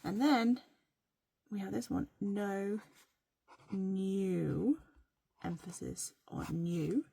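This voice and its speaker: Chatty Norwegian woman with a creaky voice. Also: medium pitch, very slow, dry recording. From Norway. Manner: chatty, playful, drifts into soft whispers. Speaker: vlogger. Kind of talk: casual rambling vlog while writing a journal.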